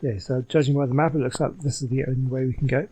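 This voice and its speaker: Male let's-play commentator: husky, soft-edged dark tone, casual and understated. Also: mid-range voice, steady tone, fast. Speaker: gamer commentating on a playthrough. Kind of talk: casual game commentary, reading on-screen text aloud. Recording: music behind the voice.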